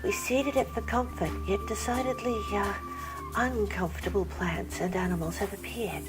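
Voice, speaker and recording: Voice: medium pitch, normal speed, voice pushed up, lightly acted, low-quality recording. A performer voicing a timid female bird-like alien scientist, formal, deferential and chirpy, in an audiobook story. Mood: meek, earnest. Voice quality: chirpy, singsong. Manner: meek, deferential, formal pleading. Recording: music bed behind.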